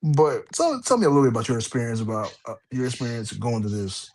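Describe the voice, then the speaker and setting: A man's voice: mid-range voice, sing-song, quick pace, darkish tone. A man hosting a wrestling podcast in casual chat, rambling with lots of fillers and restarts. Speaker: podcast host.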